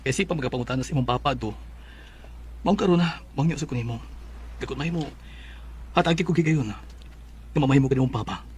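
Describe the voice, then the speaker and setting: Quick, coaxing Filipino stepfather, played by a man. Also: medium pitch, a little hiss or hum, lightly acted. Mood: coaxing, earnest. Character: eager new stepfather, coaxing and affectionate. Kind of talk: Filipino radio drama acting.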